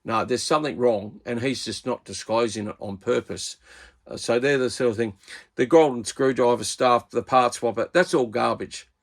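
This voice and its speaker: A man's voice: medium pitch, moderate pace. A middle-aged man with an Australian accent, talking casually and bluntly at his workbench about radios he bought. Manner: blunt, exasperated, plain-spoken. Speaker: radio hobbyist channel host.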